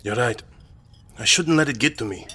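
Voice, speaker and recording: A man voicing a withdrawn young immigrant in an audio horror story, husky and hesitant. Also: medium pitch, moderate pace, sharp tone. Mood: withdrawn, evasive.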